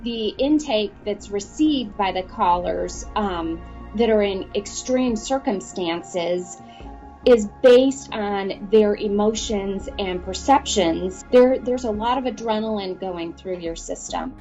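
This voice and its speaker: A woman's voice: medium pitch, slow pace, dark tone, faint music behind. A woman, an advocate for dispatchers, explaining in an interview. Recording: slightly dull, lo-fi interview sound.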